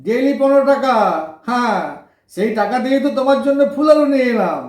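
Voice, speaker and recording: A man's voice: very high-pitched, quick pace, darkish tone, distant mic. An actor playing a cheeky, kind-hearted young garage boy with colloquial West Bengal speech, in teasing, slangy audio drama banter. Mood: caring, boastful. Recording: echoey room, off the microphone.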